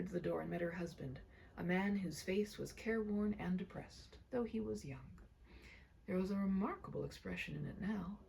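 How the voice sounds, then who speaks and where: A woman narrating a classic story aloud with theatrical expression, often dropping into a hushed tone. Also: mid-range voice, vocal fry, a little hiss or hum, low-quality recording. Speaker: amateur audiobook narrator. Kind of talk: dramatic storybook read-aloud.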